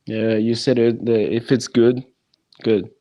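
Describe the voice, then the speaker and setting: A man who speaks English as a non-native language, hosting a casual podcast chat and talking haltingly with many restarts. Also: mid-range voice, level tone, warm tone. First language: neither English nor French.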